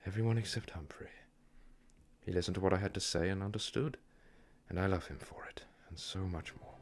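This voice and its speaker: A man's voice: low voice, fairly quick. A man acting as an anguished, bewildered widower in an audio drama, earnest and dramatic in delivery. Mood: tender regret. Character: anguished, guilt-ridden widower, emotional and bewildered.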